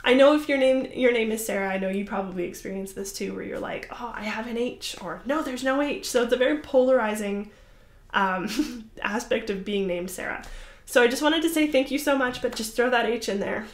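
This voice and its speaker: A woman's voice: fairly high pitch, fairly quick. A young woman hosting a crafting podcast, chatting casually to the camera in a rambling, apologetic way with lots of fillers. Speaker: podcast host. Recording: roomy echoey room.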